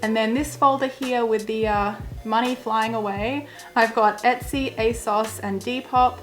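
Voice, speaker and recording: A young woman, chatting casually through a vlog in a croaky voice that is wearing thin, with plenty of filler words. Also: fairly high pitch, steady tone, unhurried, soft background music. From Australia. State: croaky, losing her voice. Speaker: vlogger. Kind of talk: casual walkthrough.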